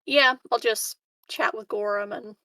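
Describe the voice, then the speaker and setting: A woman's voice: mid-range voice, measured pace, sharp tone, dry recording. A woman taking part in a tabletop roleplaying game, chatting casually about what her character will do.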